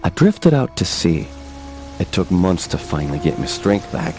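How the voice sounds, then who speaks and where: A grave game hero with a deep voice. He speaks with brooding, earnest intensity, his tone moving widely as he dwells on betrayal. Music plays clearly underneath. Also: sing-song, moderate pace, a little mellow. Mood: brooding, reflective. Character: grave, embittered male adventurer hero, betrayed by a friend. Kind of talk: dramatic video game acting and narration.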